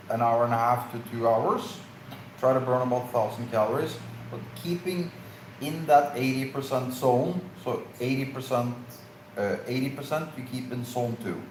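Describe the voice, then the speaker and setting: Man talking casually in an echoey room. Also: medium pitch. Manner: halting, searching for words with fillers. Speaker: live stream co-host. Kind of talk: casual Q&A chat. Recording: echoey room, faint noise.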